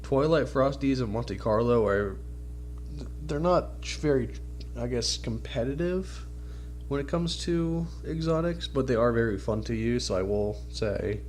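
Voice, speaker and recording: A man's voice: medium pitch, unhurried, darkish tone, a little hiss or hum. A man with a stuffed-up, nasal voice from a cold, giving casual, informal video game commentary as a channel host.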